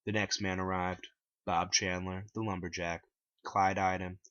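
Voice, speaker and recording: A man narrates his own first-person story in a dramatic reading. His voice is bright and forceful, recorded dry and slightly dull. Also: low voice, flat delivery, unhurried, no echo.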